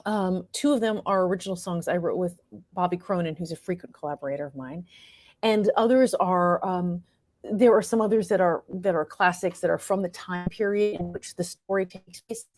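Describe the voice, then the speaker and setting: Low-voiced woman, halting and reflective. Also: darkish tone, dry recording. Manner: halting, reflective, searching for words. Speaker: interviewed playwright and performer. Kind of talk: conversational interview answers.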